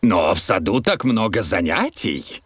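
Jolly, swooping daddy pig. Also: male voice, on the low side, very animated, a little slow. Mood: relaxed. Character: jolly, good-natured adult father pig. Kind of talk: children's cartoon dub.

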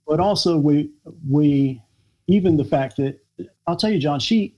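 A man in his forties from the United States, a guest talking earnestly on a video call with lots of pauses and restarts. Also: a little high, darkish tone, muffled recording. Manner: earnest, hesitant with many fillers and restarts. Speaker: guest, children's book author. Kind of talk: conversational interview. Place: small home room, indoors.

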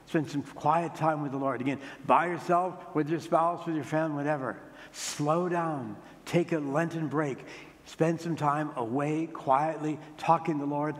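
An older male priest gives a conversational sermon in a large church, his fairly high voice ringing with a long reverb. He slows down and repeats key points for emphasis. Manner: plain, conversational preaching, with slow emphatic repetitions. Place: large church sanctuary, standing by the altar.

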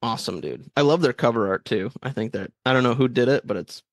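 A man's voice: medium pitch, animated, fast, no echo, studio quality. A man co-hosting a horror movie podcast with a stuffy, nasal sound from a cold, joking and teasing himself in casual chat. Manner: playful, self-mocking, laughs a lot. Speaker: podcast co-host.

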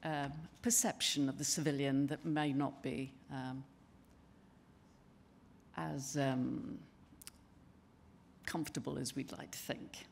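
An older woman giving a conference presentation at a lectern in a large wood-panelled hall, speaking in a measured, conversational way with frequent ums and restarts. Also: very deep voice, steady tone, creaky, a little hiss or hum. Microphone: lectern microphone.

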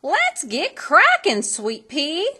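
A woman voicing a spirited, bossy old fairy godmother from a western storybook, with brisk, folksy commands. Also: sits high, huge pitch swings, pushed and bright, voice pushed up, exaggerated voice. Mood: excited.

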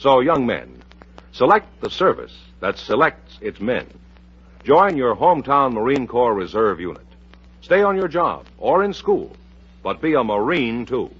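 A man's voice: mid-range voice, very animated, measured pace, slightly rough. An American man announcing a scripted mid-century radio music show in a polished, promotional manner. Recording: dull, band-limited vintage radio recording.

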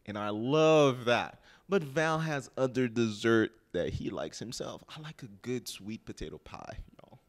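A middle-aged man from Haiti, a bakery owner, chatting as a guest in an interview, animated and talking into a handheld microphone. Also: mid-range voice, measured pace, few pauses, slight background noise. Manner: animated, talks with his hands, addresses listeners as y'all. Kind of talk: casual interview chat. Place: lounge studio with sofa.

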